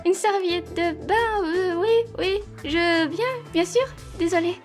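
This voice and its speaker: A woman performs a young maid from a roleplay audio drama, with a very high voice. She is eager and formally polite, yet easily flustered. Her speech hesitates and stumbles into apologies, over faint music. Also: slow. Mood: flustered, embarrassed.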